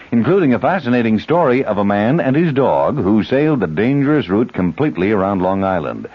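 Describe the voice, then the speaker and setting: A man reading scripted news and announcements on the radio in a formal, measured way. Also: lowish voice. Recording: dull old radio broadcast.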